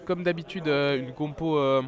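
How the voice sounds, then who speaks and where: A man's voice: fairly high pitch, fairly even intonation, moderate pace. A man with a head cold giving live esports commentary, chatty and full of fillers and restarts. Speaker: esports caster.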